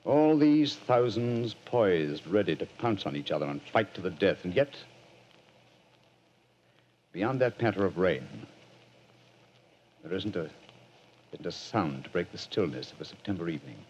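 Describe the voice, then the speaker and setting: An actor playing a commanding, incisive English gentleman detective in a 1940s radio drama, with a crisp, declamatory British delivery. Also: deep voice, expressive, unhurried, pauses often, slight background noise. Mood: brooding. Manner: crisp, declamatory, self-assured. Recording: muffled vintage radio recording.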